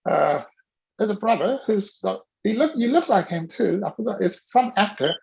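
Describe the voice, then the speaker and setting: A male business coach with a gravelly, creaky edge to his voice talks over a muffled video call. He is animated and teasing as he coaches a participant, calling them out by name. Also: high-pitched, moderate pace.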